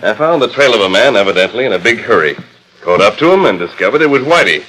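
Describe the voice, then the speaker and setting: An actor playing a steadfast masked Western hero with an American accent, formal and authoritative, in a 1940s radio drama. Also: male voice, on the low side, very animated, a bit bright, rough recording. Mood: explaining. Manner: formal, authoritative, measured phrasing. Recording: old 1940s radio transcription disc.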